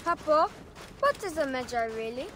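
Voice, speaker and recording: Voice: high-pitched, big pitch swings, normal speed, fairly bright tone, a little hiss or hum. An actor playing an eager, anxious young boy who adores his father in a game cutscene. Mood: eager, curious. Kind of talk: dramatic game cutscene acting. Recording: faint game music behind.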